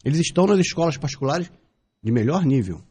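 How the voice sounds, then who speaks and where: A man's voice: fairly high pitch, lively intonation, fast, mellow, breathy edge. A man in his fifties from Brazil, giving an emphatic opinion commentary on the radio, driving his points home with repetition and rhetorical questions. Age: 50s. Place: radio studio.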